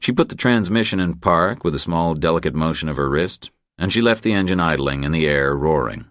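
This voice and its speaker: A man's voice: extremely low pitch, expressive, a little fast, a bit bright, no room sound, clean studio sound. A man narrating a crime thriller audiobook from a script.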